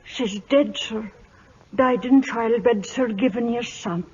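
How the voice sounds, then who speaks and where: An actress playing a mournful, deferential older housekeeper in an old-time radio drama, her voice husky and breathy. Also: a little high. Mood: sorrowful. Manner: slow, hushed, deferential. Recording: dull, muffled vintage radio recording.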